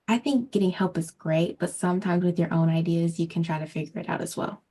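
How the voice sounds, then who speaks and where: A woman's voice: lowish voice, steady tone, a little fast, mellow, breathy edge. A woman panelist giving casual, reassuring advice. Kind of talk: panel discussion answer.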